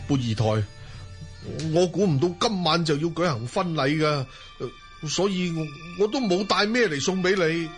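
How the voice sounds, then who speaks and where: A man's voice: on the high side, lively intonation, moderate pace, soft background music, lightly acted. A man playing an earnest, respectful seventeen-year-old Mongol youth in a Cantonese audio drama. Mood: shy, awkward.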